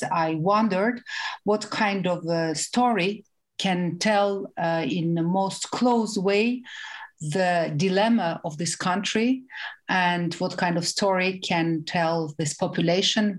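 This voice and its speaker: A woman from Armenia, a film director answering interview questions carefully and searching for her words in English. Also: on the low side.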